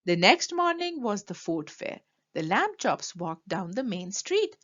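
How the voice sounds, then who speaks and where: A woman reading a children's story aloud in an expressive, emphatic way. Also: mid-range voice, a little slow, muffled recording. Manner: animated, emphatic delivery for children.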